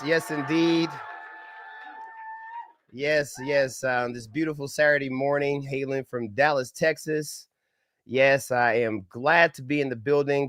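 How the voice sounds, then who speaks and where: A man's voice: fairly high pitch, fairly bright tone. A middle-aged man with a head cold, his voice a bit crackly and nasal, hosting a live-stream training in an upbeat, folksy manner with preacher-like greetings. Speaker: government contracting trainer. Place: home setup in Dallas.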